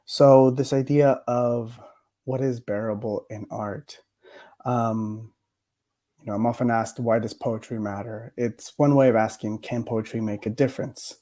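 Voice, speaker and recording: Soft-toned man, hesitant and reflective. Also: mid-range voice, steady tone, a little slow. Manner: hesitant, many fillers and restarts. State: recovering from a cough, voice a bit worn. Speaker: poet laureate giving a lecture. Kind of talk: reflective mini lecture on poetry.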